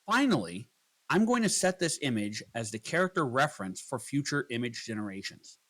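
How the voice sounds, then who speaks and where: A man narrating a scripted software tutorial, explaining each step in turn as a voice-over. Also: medium pitch, very animated, fairly quick.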